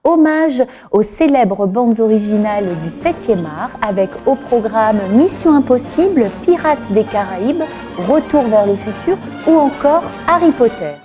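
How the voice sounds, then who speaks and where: A woman's voice: a little high, unhurried, over music. A woman reading a scripted news report as a voice-over. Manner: even read-aloud delivery.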